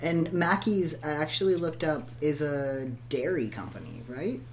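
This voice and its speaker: A woman's voice: sits low, lively intonation, measured pace. A woman co-hosting a casual podcast chat, leading the talk and laughing easily, with a faint hum behind her. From Canada. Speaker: podcast co-host.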